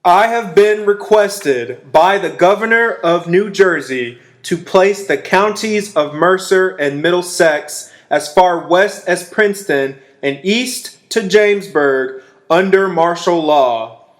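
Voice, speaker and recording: An actor playing a stern, authoritative militia general making a measured official proclamation in a radio drama. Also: male voice, high voice. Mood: grave, official.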